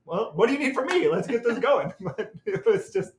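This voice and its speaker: A man's voice: very high-pitched, expressive, moderate pace. A middle-aged man co-hosting a podcast, reminiscing in casual conversation. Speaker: podcast co-host. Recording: echoey room.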